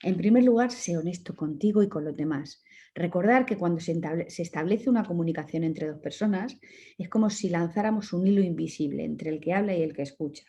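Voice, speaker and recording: A woman from Murcia with a Murcian Spanish accent, giving an online lecture as a communication course instructor in a calm, instructive way, with direct advice. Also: fairly low pitch, a little fast. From Murcia, Spain.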